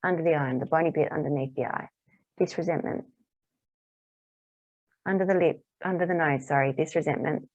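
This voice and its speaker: A woman practitioner calmly guiding a tapping exercise in repeated phrases, her voice a little hoarse. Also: sits low, a little fast, pauses often, no room sound. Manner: calm, repetitive guiding phrases. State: slightly hoarse, clearing throat. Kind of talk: guided tapping lesson. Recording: dull, muffled dry recording.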